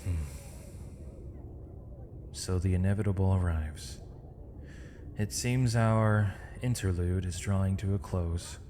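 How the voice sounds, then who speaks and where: Man acting as deep, slow-spoken imprisoned mage. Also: noisy background. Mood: resigned, gentle. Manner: measured, formal, poetic phrasing with thoughtful pauses. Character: gentle, weary, eloquent imprisoned male mage, misunderstood villain. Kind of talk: ASMR roleplay, intimate dramatic monologue. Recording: long reverb, faint night insect ambience.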